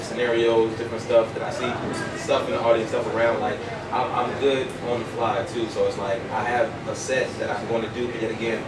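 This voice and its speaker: A young American man in his early twenties talks in an informal, joking, slangy way. He sounds distant from the mic in an echoey space, with very loud noise around him. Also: mid-range voice. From Chicago, United States. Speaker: stand-up comedian being interviewed. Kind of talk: casual interview chat.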